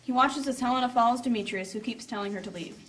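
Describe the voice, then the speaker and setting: Female narrator for a scripted tale with playful asides. Slightly higher voice, a bit off-mic, faint noise. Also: fast, fairly bright tone, far from the mic.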